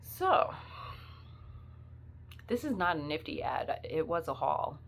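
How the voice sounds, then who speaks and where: Woman, low voice, sniffly from the flu, chatty reseller vlog, faint background hiss. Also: very animated, slow pace, gravelly. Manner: chatty, rambling, many fillers. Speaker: part-time reseller. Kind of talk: casual vlog chat.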